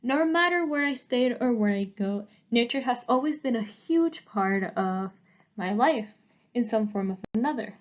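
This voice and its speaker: Young woman, twenties, art student giving a prepared talk, earnest and a bit halting, fairly high voice, slightly dull recording. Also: lively intonation. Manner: earnest, halting, with small restarts. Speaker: art student presenting her work. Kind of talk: prepared presentation.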